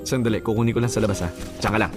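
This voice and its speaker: A man's voice: fairly low pitch, steady tone, racing, natural voice. Controlling young boyfriend acted by a man. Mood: sweet, casual. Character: controlling, jealous young boyfriend, domineering and demanding. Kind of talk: Filipino radio drama acting. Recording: guitar music under the voice.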